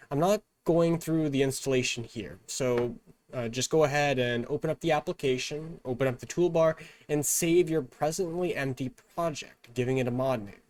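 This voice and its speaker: A man presenting a step-by-step software tutorial in an instructional, measured manner. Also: mid-range voice, no room sound.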